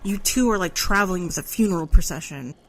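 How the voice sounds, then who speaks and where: A woman's voice: lowish voice, expressive, quick pace, faint music behind, poor audio. An actress playing a restless young woman thief who speaks in a blunt, exasperated way. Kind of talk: audio drama acting.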